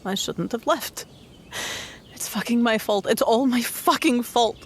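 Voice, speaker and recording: A woman's voice: fairly high pitch, a little slow, slight background noise. A woman playing an earnest, guilt-ridden young female adventurer in a tabletop roleplaying game. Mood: guilty, distraught. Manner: earnest, emotional.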